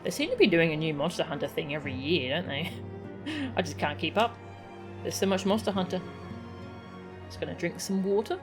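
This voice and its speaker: A woman's voice: fairly low pitch, sing-song, a little fast, long pauses. A woman giving casual livestream commentary as she plays a video game, with game music behind her. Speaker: game streamer reading dialogue aloud.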